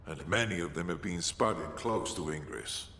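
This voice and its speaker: A very deep, gravelly military commander from a game. He sounds solemn and authoritative, his voice ringing in a big echoey hall. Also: lively intonation, moderate pace, bright, forceful tone, full character voice. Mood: resolute. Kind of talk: scripted game dialogue. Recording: echoey large hall with faint noise.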